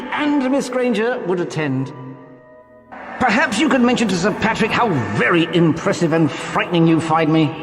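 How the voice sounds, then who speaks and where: An actor playing a courteous, vain gentleman ghost in a video game, speaking in a formal, theatrical way. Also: extremely high pitch. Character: genteel, courteous male ghost, vain and eagerly hospitable. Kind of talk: video game character acting. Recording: long reverb, music behind.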